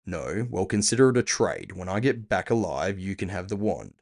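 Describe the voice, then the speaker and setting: A man playing a gruff, impatient cyberpunk bounty hunter, terse and sardonic, in an audio drama. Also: low voice, big pitch swings, moderate pace, darkish tone, heavily acted. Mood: commanding.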